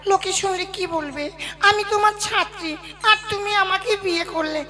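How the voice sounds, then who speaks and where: Woman acting an anxious, high-pitched young bride. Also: normal speed. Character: anxious young female singing student, new bride. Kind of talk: comic folk puppet-play acting.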